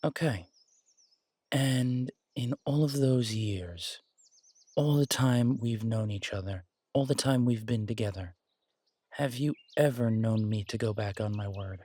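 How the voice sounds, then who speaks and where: A man playing a confident, protective alpha werewolf boyfriend in an intimate roleplay audio drama, husky and soothing, teasing and reassuring in a casual way. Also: medium pitch, measured pace, dark tone, no echo, natural voice. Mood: earnest, soothing.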